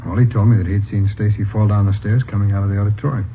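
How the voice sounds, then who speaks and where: A man's voice: lowish voice, steady tone, quick pace, lightly acted. A man playing an earnest, flustered rookie policeman in a 1940s radio drama, deferential and inclined to stammer when pressed. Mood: earnest explaining. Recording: tinny, faintly hissy 1940s radio recording.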